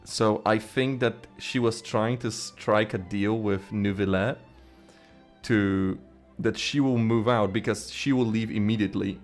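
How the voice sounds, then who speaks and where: Slow-talking low-voiced man streamer. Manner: rambling, excited theorizing, swears. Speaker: game streamer. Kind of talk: casual gameplay commentary.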